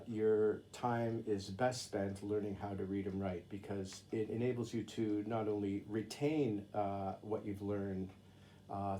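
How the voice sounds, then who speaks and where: Guy with a low mellow voice chatting about learning japanese, uh's everywhere, faint hiss behind. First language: English. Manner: reads Japanese sentences slowly, then explains them in English with fillers. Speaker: English teacher in Japan. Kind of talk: casual talk to camera, reading sentences aloud.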